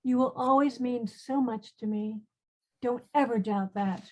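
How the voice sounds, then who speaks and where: A woman plays a doomed young queen in a stage drama. Her voice is high, and she speaks in an earnest, measured way, proud and devout. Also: close to an everyday voice. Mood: wistful, earnest. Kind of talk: online play reading.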